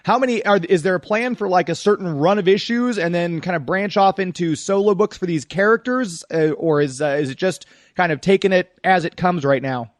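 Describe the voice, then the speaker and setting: A man's voice: high voice, fairly quick, dry recording, studio quality. A man hosting an upbeat radio interview, talking with a guest as the show's presenter. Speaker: radio show host and interviewer.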